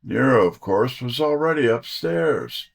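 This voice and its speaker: A wisecracking private detective's sidekick, voiced by a man, tells his story in hard-boiled first person. His pitch swings widely while the delivery stays unhurried. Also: lively intonation, vocal fry. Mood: tense, wry. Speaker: detective's assistant and narrator.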